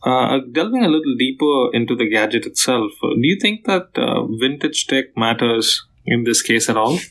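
A man's voice: medium pitch, expressive, moderate pace, fairly bright tone, poor audio. A man hosting a podcast interview, asking questions in a hesitant, tentative way. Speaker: podcast host.

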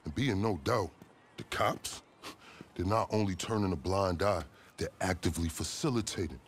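A man playing a calculating police officer in a video game cutscene, speaking deliberately in formal, wordy phrases with some swearing. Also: sits low, a little hiss or hum, natural voice. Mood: resentful, conspiratorial. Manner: deliberate, verbose, formal vocabulary mixed with swearing. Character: smooth, calculating rookie cop with a grudge against corruption.

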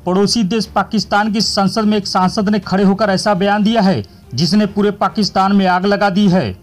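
A man's voice: high voice, fairly even intonation, moderate pace. A man reading a scripted news voice-over in a dramatic, declarative manner. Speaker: news narrator.

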